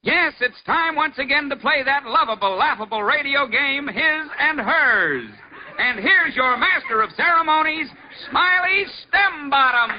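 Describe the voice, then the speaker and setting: Showy quiz announcer, very high pitched, dull lo-fi sound. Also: male voice, animated, heavy background noise. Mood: brisk. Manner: brisk, showy. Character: brisk male radio announcer. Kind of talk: 1940s radio announcing. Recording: muffled old radio recording.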